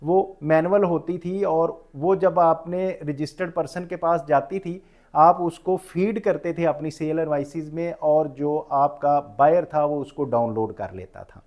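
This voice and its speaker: High-pitched Pakistani man explaining. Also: a little slow, darkish tone. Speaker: tax professional giving a lecture. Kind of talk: informal explanatory lecture mixing English terms.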